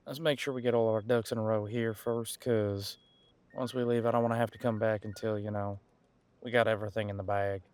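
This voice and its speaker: A man playing a centuries-old, easygoing detective in an audio roleplay, talking casually and folksily as he muses aloud with pauses. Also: fairly low pitch, fairly even intonation, darkish tone, clean tone, close to an everyday voice. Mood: brisk, planning. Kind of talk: detective roleplay audio, one side of a conversation.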